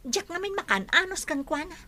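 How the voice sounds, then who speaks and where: A woman's voice: extremely high pitch, lively intonation, fairly quick, bright, forceful tone, heavily acted. An actress playing a spiteful, scheming wealthy woman in an Ilocano radio drama. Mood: annoyed, spiteful.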